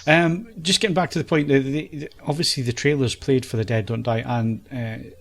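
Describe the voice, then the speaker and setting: A man's voice: mid-range voice, fairly even intonation, brisk, fairly bright tone. Male podcast host, mid-range voice, informal and conversational. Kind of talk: casual podcast chat.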